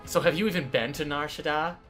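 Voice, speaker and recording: A woman chatting casually in an animated, playfully indignant bantering way. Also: low-pitched, fast talker, soft background music.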